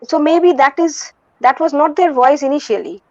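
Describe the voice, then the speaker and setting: A soft-voiced woman in her forties with an Indian English accent, speaking thoughtfully as an interview guest with many pauses and restarts. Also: sits high, dry recording. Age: 40s. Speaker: guest vocalist and music teacher. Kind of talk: candid conversational interview. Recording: dull lo-fi dry recording.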